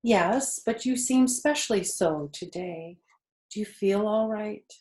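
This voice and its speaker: A woman's voice: mid-range voice, takes it slowly, a little mellow, reverberant, close to an everyday voice. A woman voicing an earnest, emotional young male pig in a children's storybook, with a pleading, wide-eyed manner. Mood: concerned. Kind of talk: children's storybook character reading.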